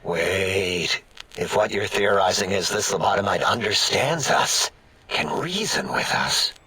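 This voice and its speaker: Raspy, nervous robot scientist. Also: male voice, sits low, lively intonation, unhurried, bright, forceful tone, exaggerated voice. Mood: worried. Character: nervous, skeptical scientist brain in a machine. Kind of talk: theatrical comic video game acting.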